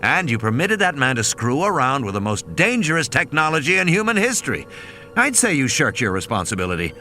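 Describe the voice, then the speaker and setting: A man's voice: mid-range voice, very animated, fairly quick, pushed and bright, faint music behind, voice pushed up. A man voicing a commanding, angry male scientist in an audiobook, blunt and scolding. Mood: furious, scolding. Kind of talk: audiobook character dialogue.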